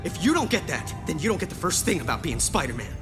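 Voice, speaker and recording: An actor playing a young American superhero in a video game, wisecracking, nerdy and earnest, sometimes hesitant. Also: male voice, fairly high pitch, sing-song, fast, in a large hall, music in the background. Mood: urgent, pleading.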